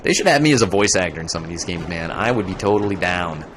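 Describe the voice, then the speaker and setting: A man's voice: low voice, lively intonation, quick pace, background noise. A young man streaming a video game, chatting casually, rambling and joking as he plays. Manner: rambling, joking, breaks into goofy voices. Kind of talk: casual gaming commentary.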